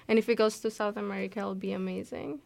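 A woman's voice: mid-range voice, fairly even intonation, fast talker, creaky, darkish tone, a little hiss or hum. A young woman from Colombia with a Colombian Spanish accent, chatting eagerly as a guest and often repeating words as she talks. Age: young adult. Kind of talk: casual chat.

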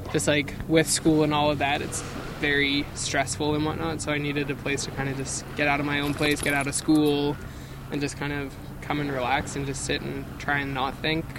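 A young man from Hong Kong, a student, answering a beach interview in a relaxed way with lots of "like" fillers. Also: on the high side. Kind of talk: casual street interview. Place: outdoor beach. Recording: outdoor noise behind the voice.

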